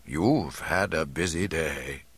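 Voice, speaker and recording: A man voicing a kindly, wise old hermit in an audiobook, speaking gently, slowly and deliberately. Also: rumbling low, breathy edge, no echo, voice pushed down, exaggerated voice. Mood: calm, gentle.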